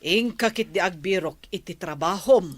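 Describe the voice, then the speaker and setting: A woman voicing a caring but strict old grandmother in a radio drama, slow and scolding yet tender. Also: lowish voice, dry recording, lightly acted. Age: older. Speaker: grandmother character. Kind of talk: Ilocano radio drama acting.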